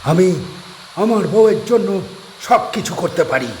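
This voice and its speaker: A man's voice: high-pitched, lively intonation, unhurried, roomy sound, a little hiss or hum, pitched above the performer's own voice, low-quality recording. A man voicing a desperate middle-aged guest house keeper who guards his vampire wife, in a strained, pleading voice.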